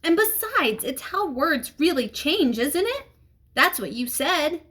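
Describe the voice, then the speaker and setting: A woman's voice: high-pitched, very animated, slow pace, fairly bright tone, voice pushed up, full character voice. A woman reading the part of a fifth-grade boy in a storybook, earnest and informal, hesitant but stubborn. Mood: earnest, questioning. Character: earnest, plucky fifth-grade schoolboy standing his ground.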